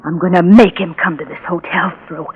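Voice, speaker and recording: A woman's voice: lowish voice, steady tone, brisk, slightly rough, dull audio. An actress playing a desperate, obsessive, lovesick young woman in a 1940s radio drama, with a faltering, breathless, melodramatic delivery. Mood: obsessive resolve. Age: young adult. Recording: vintage 1940s radio recording.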